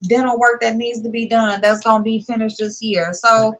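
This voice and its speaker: Bright, brash woman in a gossip chat. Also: fairly high pitch, normal speed, rough recording. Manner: brash, animated, fills with "like" and "listen".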